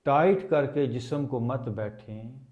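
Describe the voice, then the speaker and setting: A man leading a guided meditation as a teacher, speaking calmly and deliberately with long pauses. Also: mid-range voice, phone-quality sound.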